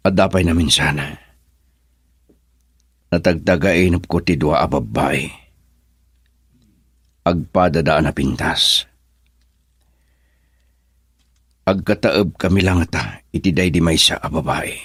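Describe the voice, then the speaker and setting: Man acting as a haunted nightmare sufferer, deep husky breathy voice, halting, confused, dry radio drama sound. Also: fairly bright tone, dry recording, exaggerated voice. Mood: subdued, troubled. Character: troubled man waking from a nightmare, memory lost.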